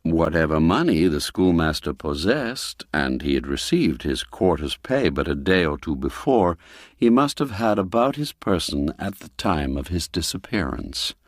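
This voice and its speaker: A man reading a classic tale aloud in a measured, expressive storytelling manner. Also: sits low, no echo, clean studio sound. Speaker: narrator. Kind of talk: scripted storybook narration.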